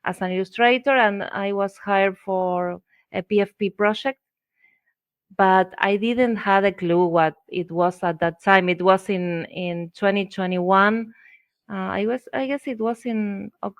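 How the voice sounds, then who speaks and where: Middle-aged female interview guest, Argentine accent, Spanish native, slow halting conversational delivery, dry close sound. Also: mid-range voice, clear, resonant tone, no room sound. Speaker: interview guest, visual artist. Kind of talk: conversational personal storytelling.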